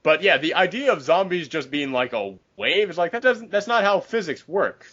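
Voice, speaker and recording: A man's voice: high voice, big pitch swings, normal speed, a bit bright. A young man chatting casually about films as a member of a podcast. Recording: dull lo-fi podcast audio.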